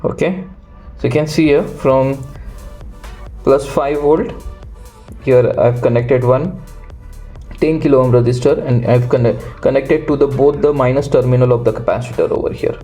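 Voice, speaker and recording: A man walks through a hands-on electronics build. His voice is mellow and mid-pitched. He speaks in a halting, unscripted way, pausing mid-phrase, with music playing behind him. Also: fairly even intonation. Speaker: electronics tutorial host. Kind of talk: unscripted step-by-step hands-on tutorial.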